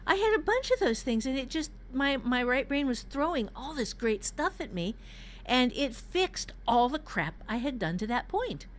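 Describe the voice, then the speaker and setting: A woman's voice: high voice, sing-song, moderate pace, slight background noise. Candid older woman chatting on a podcast. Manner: candid, emphatic, salty-tongued storytelling. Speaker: author and writing teacher, podcast co-host. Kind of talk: casual podcast chat.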